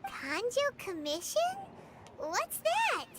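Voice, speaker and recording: A woman voicing a small, excitable, childlike female companion creature in a video game, squeaky and exclamatory. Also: takes it slowly, very noisy, full character voice. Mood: puzzled. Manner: squeaky, exclamatory, refers to self by name. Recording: loud game music underneath.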